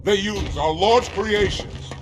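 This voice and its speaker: An actor voicing a stern, zealous alien warrior commander in a video game cutscene, oratorical and martial. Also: male voice, sits high, slow. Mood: rallying.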